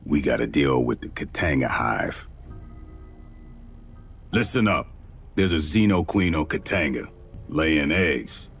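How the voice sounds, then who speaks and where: An actor playing a gruff, sardonic marine commander with a husky voice, giving a blunt, slangy mission briefing in a game. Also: male voice, a bass voice, fairly bright tone, heavily acted.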